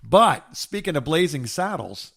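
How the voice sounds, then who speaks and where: A middle-aged American man co-hosting a podcast in casual chat, halting and rambling, often repeating words and trailing off mid-thought. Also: on the high side, very animated, creaky. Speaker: podcast co-host. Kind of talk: casual phone-call chat.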